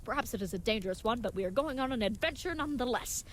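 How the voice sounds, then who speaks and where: A woman's voice: a little high, animated, quick pace, slight background noise, close to an everyday voice. A woman playing an earnest, naive and brave young leatherworker in an audio drama, speaking in formal, chivalrous, contraction-free phrases. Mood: gallant, confident. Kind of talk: scripted audio drama acting.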